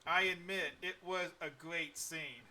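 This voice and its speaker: A man in his forties hosts a loose live-stream chat with a high, very bright and forceful voice. He rambles through fillers and frequent chuckles. He sits back from the mic, with faint background noise behind him. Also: steady tone, a little slow, distant mic. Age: 40s. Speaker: podcast host.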